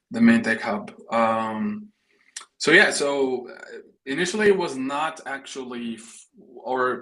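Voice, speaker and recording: A man from Morocco answering interview questions as a startup guest, speaking conversationally with halting, repeated words. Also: mid-range voice, fairly bright tone, rough recording. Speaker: interview guest, startup co-founder and engineering head.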